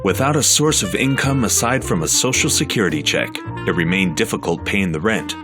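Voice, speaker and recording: A man giving measured, scripted documentary narration. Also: lowish voice. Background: music underneath.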